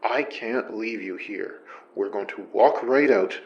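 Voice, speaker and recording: An actor playing a grumpy but protective investigative partner in a horror audio drama, with an urgent, halting, emotional delivery. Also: male voice, on the low side, close to an everyday voice. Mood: anguished, reluctant. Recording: dull, muffled sound under loud music. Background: music.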